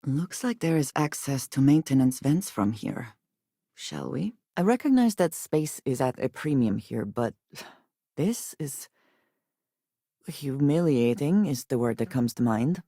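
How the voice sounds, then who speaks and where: An actress playing a guarded, solemn woman companion in a space adventure video game, speaking in formal, measured phrases. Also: a bass voice, lively intonation, dark tone, no room sound, clean studio sound, exaggerated voice. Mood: calm, thoughtful. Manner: formal, measured speech without contractions. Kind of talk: scripted video game voice acting.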